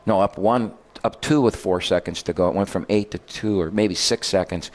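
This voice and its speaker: Older man, rambling coach, low voice. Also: moderate pace, a little mellow. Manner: rambling, self-correcting storyteller. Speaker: college basketball head coach. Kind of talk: casual radio chat.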